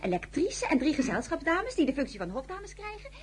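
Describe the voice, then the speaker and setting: An actress playing an excitable, gossipy woman with a chatty, gushing manner in a radio drama. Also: high-pitched, a little fast. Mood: giddy. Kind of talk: lively radio drama acting. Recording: radio drama with faint hum.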